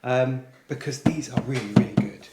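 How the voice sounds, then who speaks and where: A man's voice: medium pitch, steady tone, moderate pace. A man presenting a casual product unboxing, chatting informally with British slang. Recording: echoey room, faint background noise.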